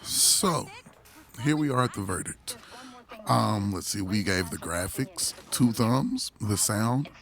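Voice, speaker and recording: A man with a hoarse voice, recovering from illness, giving a casual, rambling game review full of restarts and laid-back slang. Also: fairly low pitch, animated, slow pace.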